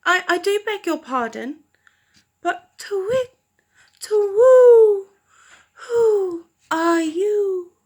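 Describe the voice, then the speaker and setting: A woman voicing a lonely, polite male owl who stammers and hoots his words up high. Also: animated, extremely slow, long pauses, a little mellow, exaggerated voice. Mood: polite, hopeful. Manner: hooting, stammering, pitched up high.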